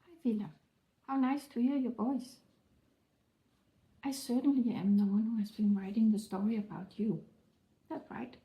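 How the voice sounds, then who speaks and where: Lady writer chatting in her living room, super dark mellow tone, roomy echo. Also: a little high, steady tone, moderate pace, long pauses. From Denmark. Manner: friendly, earnest, explaining plainly. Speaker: fantasy writer. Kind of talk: scripted conversation. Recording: echoey room.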